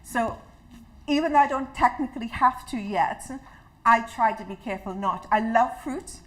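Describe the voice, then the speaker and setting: A woman's voice: a little high, normal speed, low-quality recording. A woman from Trinidad, leading an interactive health talk to an audience in a chatty, personal way. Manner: warm, chatty, personal, restarts often. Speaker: health educator. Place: community hall with a live audience.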